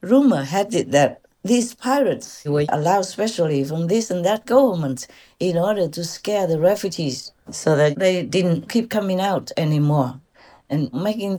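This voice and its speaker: A woman with a Vietnamese accent speaks casually to a group as a spiritual teacher, in an animated storytelling manner. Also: mid-range voice. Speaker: spiritual teacher answering followers.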